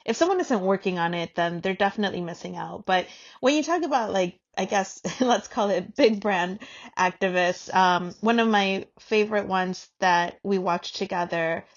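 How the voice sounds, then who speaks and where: A woman talks as a podcast co-host in a loose, conversational debating style. Her pitch sits in the middle of the female range, and she is frank and informal, quick to challenge. The recording is a little dull and lo-fi.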